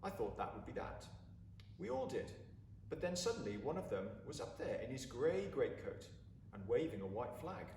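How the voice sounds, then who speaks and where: A man reads a story aloud in a dramatic, deliberate way. His mid-pitched voice moves widely up and down. The recording is echoey and a little distant, with faint noise. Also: sing-song, a little mellow. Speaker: story reader. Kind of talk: expressive storybook narration. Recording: roomy, distant mic, faint background noise.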